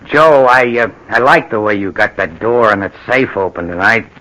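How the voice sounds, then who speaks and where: An actor playing a ruthless, menacing burglar in an old-time radio crime drama, with tough, slangy, bullying talk. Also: male voice, fairly low pitch, moderate pace, pushed and bright, rough recording. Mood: smug, menacing. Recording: vintage radio drama recording.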